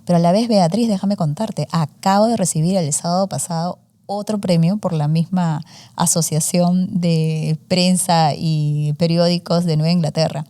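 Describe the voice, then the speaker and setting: A woman's voice: on the low side, fairly even intonation, moderate pace, warm tone. A woman in her forties, a student journalist, talking through her story in a casual interview with many fillers and English words mixed in. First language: Spanish. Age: 40s.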